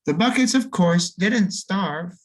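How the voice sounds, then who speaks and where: A man reading a children's storybook aloud, slowing down and lingering on key words, with free swings in pitch and loudness for emphasis. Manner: expressive, lingers and slows on key words for effect. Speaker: audiobook reader.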